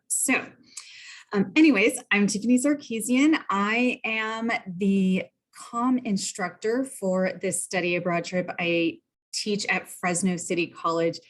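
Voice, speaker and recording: A woman instructor hosting an online information session, friendly and organized, speaking through a computer mic on a video call. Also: mid-range voice. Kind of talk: casual online meeting.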